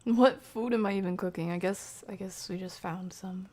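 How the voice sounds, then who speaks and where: A woman streamer giving casual, chatty horror game commentary, muttering to herself in a breathy voice that often drops to a whisper. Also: medium pitch, moderate pace, warm tone.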